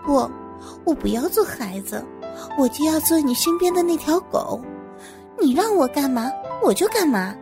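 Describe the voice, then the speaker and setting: 一个女人在有声故事里演绎一名年轻成年下属，语气殷勤、恭顺，一味讨好。. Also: female voice, high voice, unhurried, voice pushed up. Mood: eager, flattering. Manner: ingratiating, solicitous. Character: young adult subordinate, eager, fawning and deferential. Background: music.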